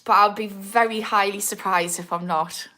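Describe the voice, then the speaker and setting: A woman's voice: medium pitch, steady tone, moderate pace, bright, forceful tone. A woman in her thirties with a Geordie accent, chatting casually on a livestream, answering viewer comments with self-mocking humour and frequent laughter. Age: 30s. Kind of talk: casual live chat.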